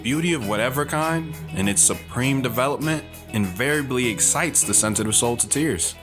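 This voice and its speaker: A man's voice: mid-range voice, animated, moderate pace, close to an everyday voice. Romantic young husband over guitar music male actor. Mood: romantic, poetic.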